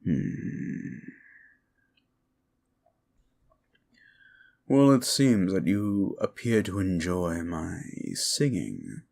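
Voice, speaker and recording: A man's voice: low-pitched, vocal fry, darkish tone, exaggerated voice. A man voicing a sly, seductive demon who hungers for suffering, in an intimate, teasing and possessive ASMR roleplay murmur. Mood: coaxing, hungry.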